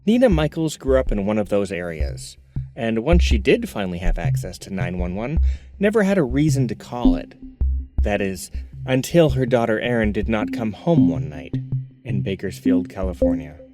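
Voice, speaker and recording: A man's voice: on the low side, big pitch swings, normal speed. An American man reading scripted, documentary-style narration for a crime audio drama. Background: music.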